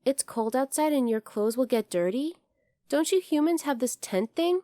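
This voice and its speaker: A very high, girlish bunny girl, played by a woman, recorded close and dry for an ASMR roleplay. She is teasing and outdoorsy, curious about humans, and blunt in a casual, playful way. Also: lively intonation, normal speed, dark tone, dry recording. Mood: eager, softly affectionate. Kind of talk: ASMR roleplay addressed to the listener.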